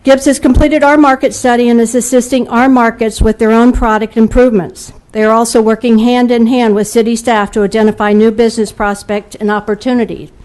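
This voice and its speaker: Woman reading her statement at a city meeting, bit high voice, steady and formal. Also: fairly quick, few pauses, poor audio. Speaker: local business owner speaking at public comment. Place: city commission meeting room.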